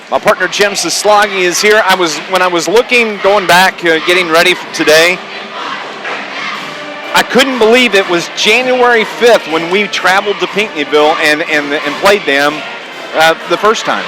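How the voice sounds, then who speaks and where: A man's voice: sits high, moderate pace, music in the background, a crowd in the background, low-quality recording. A man hosting a live sports radio pregame show as play-by-play announcer, talking in a conversational, folksy way. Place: corner perch in a school gymnasium. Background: gym ambience, bouncing basketballs.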